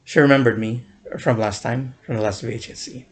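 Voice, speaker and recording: A man's voice: medium pitch, steady tone, a little fast. A young man casually recounting a fan event in a vlog, rambling with many fillers and restarts, often chuckling. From United States.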